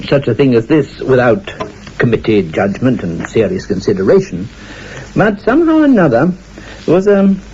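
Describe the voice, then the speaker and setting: An actor playing a witty 1920s radio engineer with a husky voice, reminiscing in a droll, hesitant, digressive way. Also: male voice, medium pitch, mellow. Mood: droll musing. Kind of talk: humorous reminiscence in a radio play. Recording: dull, muffled old radio sound.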